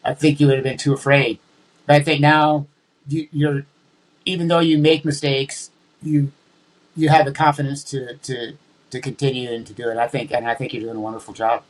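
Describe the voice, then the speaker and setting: A man's voice: medium pitch, little pitch movement, normal speed, poor audio. A man who speaks as a guest in a casual interview, hesitating and repeating words as he thinks. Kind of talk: conversational interview.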